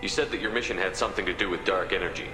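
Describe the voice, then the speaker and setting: A man's voice: low-pitched, very fast, lightly acted. An actor playing a composed male military commander in a video game, speaking in a direct, businesslike way. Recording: echoey hall reverb, music behind.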